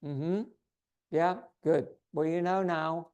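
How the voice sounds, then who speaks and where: A man's voice: fairly high pitch, slow, smooth, highs cut off. Man leading a yoga class, voice going. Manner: gives calm, step-by-step posture and breath cues. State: losing his voice. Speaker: yoga teacher. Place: yoga studio.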